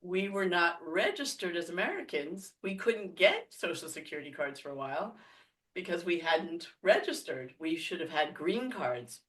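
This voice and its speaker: A woman's voice: fairly low pitch, fairly bright tone, far from the mic. A woman from France, a podcast guest, telling a story casually with many fillers and frequent laughter.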